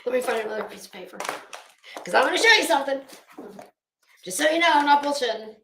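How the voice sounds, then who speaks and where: A woman's voice: sits high, expressive, fairly quick, background noise. A middle-aged woman giving an unscripted, rambling commentary rant in a sardonic, scornful, sweary manner. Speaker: online commentary vlogger. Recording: echoey room.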